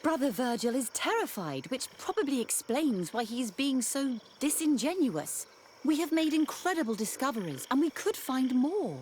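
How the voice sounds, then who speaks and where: High, animated expedition leader. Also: female voice, normal speed, a little hiss or hum. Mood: defensive, apologetic. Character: earnest, proud female expedition leader. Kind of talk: video game cutscene dialogue. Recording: faint swamp ambience, frogs.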